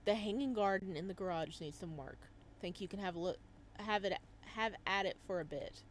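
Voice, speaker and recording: A woman's voice: mid-range voice, normal speed, slight background noise, natural voice. A woman voicing a guarded, blunt woman who left a company, wry and matter-of-fact, in a visual novel read-aloud. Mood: casual, firm. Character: guarded, blunt ex-employee turned community organiser, woman.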